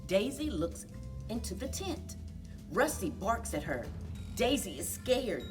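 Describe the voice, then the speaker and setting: Woman reading to children, very animated pitch. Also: far from the mic, music in the background. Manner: deliberate, expressive delivery for young children. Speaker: librarian story time host. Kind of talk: children's read-aloud storytelling.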